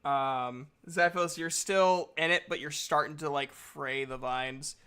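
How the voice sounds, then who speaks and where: A man's voice: a little high, steady tone, unhurried, bright, forceful tone, clear, resonant tone. A man improvising casual narration as the game master of a tabletop roleplaying game, in an informal way.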